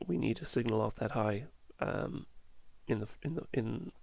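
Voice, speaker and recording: Male market analyst, gravelly and losing his voice, halting delivery, dry close sound. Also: fairly low pitch, expressive, a little mellow, no echo. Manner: halting, fillers, self-correcting. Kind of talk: chart walkthrough.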